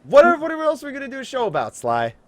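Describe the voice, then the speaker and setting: A man's voice: very high-pitched, huge pitch swings, quick pace, dry recording. An American man hosting a casual gaming podcast, talking informally and joking as he makes announcements. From New Jersey, USA. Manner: jokey, informal, breaks off to laugh and ad-lib. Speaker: podcast host.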